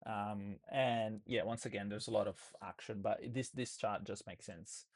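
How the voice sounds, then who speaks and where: A man with an Australian accent, hoarse and losing his voice, walking viewers through trades in a loose live session full of fillers and restarts. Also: fairly low pitch, fairly even intonation, moderate pace. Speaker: trading educator. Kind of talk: live trading session walkthrough.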